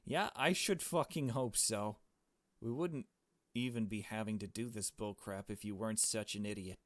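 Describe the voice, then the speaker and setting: A man's voice: lowish voice, normal speed, no room sound, close to an everyday voice. A man voicing a hot-tempered, impatient young male accomplice in a visual novel, brash, sarcastic and foul-mouthed. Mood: angry.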